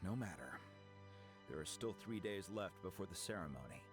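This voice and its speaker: Game role: solemn older chieftain, deep voice, formal and stately, light music behind. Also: male voice, animated, normal speed. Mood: troubled. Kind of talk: video game voice acting.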